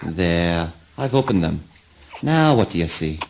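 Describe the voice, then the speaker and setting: An enigmatic male oracle, acted by a man, questions in a deliberate, fatherly way. The voice is on the low side and very animated in pitch. It is theatrical, cryptic spoken word drama. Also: vocal fry, slight background noise, heavily acted. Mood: probing.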